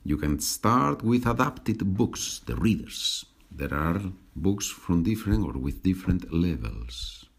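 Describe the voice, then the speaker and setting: A man's voice: sits low, very animated, slow pace, gravelly, few pauses. A man hosting a language-learning podcast, patiently repeating and translating each sentence. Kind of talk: slow bilingual teaching podcast.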